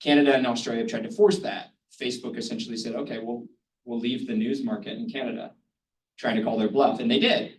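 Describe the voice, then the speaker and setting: A man's voice: medium pitch, fairly even intonation, fast. A man speaking on a panel in a loose, conversational way, with many hesitations. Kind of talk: panel discussion talk. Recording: roomy hall, distant mic, faint noise.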